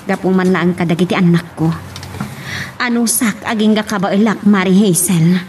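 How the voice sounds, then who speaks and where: A woman's voice: medium pitch, moderate pace, lightly acted. A woman playing a hardworking, homesick mother working abroad in a radio drama, speaking with an Ilocano accent. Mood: troubled, weary.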